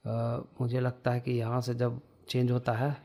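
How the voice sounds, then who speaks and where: A man with an Indian accent giving a casual software lesson, hesitant and thinking aloud as he works. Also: medium pitch, monotone, normal speed. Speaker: tutorial instructor.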